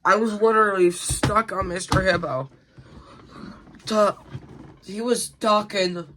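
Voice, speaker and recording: Young man, higher-pitched, chatting casually. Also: steady tone, slow, fairly bright tone, slight background noise, low-quality recording. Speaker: gaming video host. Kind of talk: casual reaction chat.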